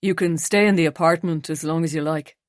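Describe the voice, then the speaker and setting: A woman's voice: low-pitched, fairly even intonation, fairly quick, dry recording, studio quality, voice pushed down, natural voice. A woman doing a detached, apologetic man in his forties.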